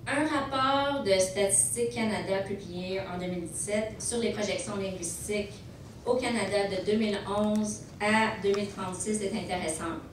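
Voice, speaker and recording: Woman lecturing in echoey hall. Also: mid-range voice. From Montreal, Quebec. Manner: measured, with frequent hesitations and restarts. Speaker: lawyer presenting at a conference. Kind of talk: prepared legal presentation. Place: large banquet hall. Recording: echoey hall, distant mic, background noise.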